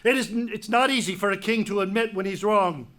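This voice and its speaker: An actor playing a proud, stubborn king in a Greek tragedy reading, speaking emphatically with halting repeated words. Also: high voice, fairly bright tone. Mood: troubled. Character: proud, stubborn male king, defensive and scornful.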